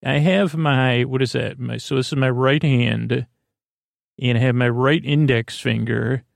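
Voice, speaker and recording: A man with a gravelly, creaky voice hosts a sleep podcast in a lulling ramble. He speaks haltingly at a middling pitch and wanders off into tangents. The sound is close and dry. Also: steady tone, no room sound. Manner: meandering, halting, trails off into tangents; soothing, lulling delivery. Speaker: podcast host. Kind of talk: rambling bedtime podcast monologue.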